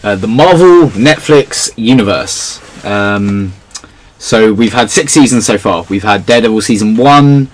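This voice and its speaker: A man's voice: on the low side, lively intonation, unhurried, low-quality recording. A man hosting a podcast in a casual discussion, giving his opinions in an analytical way. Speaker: podcast host.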